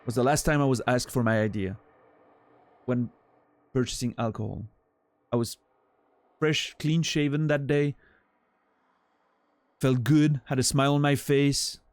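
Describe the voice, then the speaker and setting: A man's voice: medium pitch, steady tone, unhurried, pauses often, dry recording. A man around forty from French-speaking Switzerland, with a Swiss French accent, chatting loosely with his stream chat as he rambles and trails off. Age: 40s. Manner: rambling, self-deprecating, trails off with fillers.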